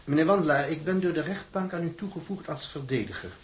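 An actor playing a court-appointed defence lawyer, formal, polite and businesslike, in an audio drama. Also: male voice, fairly high pitch, fast, background noise, natural voice.

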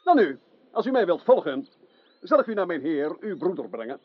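A man's voice: a little high, very animated, fairly quick, breathy edge, no echo. A man playing a dreamy, courteous knight in a radio drama, speaking in a courtly, theatrical way. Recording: dull old radio recording.

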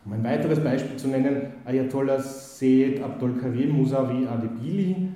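A man's voice: medium pitch, normal speed, mellow. Guy lecturing, lots of ums and restarts, super echoey room. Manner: hesitant, full of "äh" and restarts, reads quotes aloud. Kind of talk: spoken lecture with many fillers. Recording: very echoey hall.

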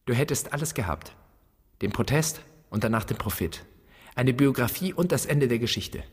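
A man's voice: medium pitch, animated, moderate pace. An actor playing a bitter grown son who broods and declaims against his absent father in a literary radio drama. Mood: bitter, accusing. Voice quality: husky. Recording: long reverberant hall.

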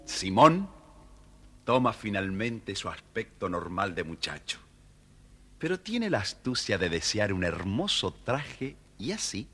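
A man narrating a children's story from a script in an expressive, dramatic storytelling manner. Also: lowish voice, a little slow, soft background music.

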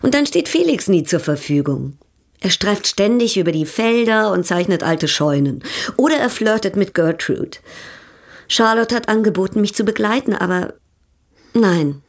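A woman's voice: medium pitch, expressive, moderate pace. A woman playing a worldly, teasing baroness in a German radio drama, with an ironic, poised and coquettish manner. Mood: restless, candid. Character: worldly, teasing baroness, newly settled from Europe.

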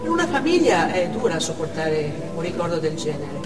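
A woman's voice: low voice, sing-song, moderate pace. An actress playing a grieving widow and mother torn between hatred and pity, in a dramatic stage monologue. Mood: grieving, subdued. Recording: distant radio excerpt under loud music.

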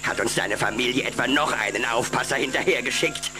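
A man's voice: medium pitch, brisk, natural voice. A man playing a sly, oily old vampire suitor in a German children's audio play, with affected, theatrical phrasing. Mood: peevish. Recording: music behind the voice.

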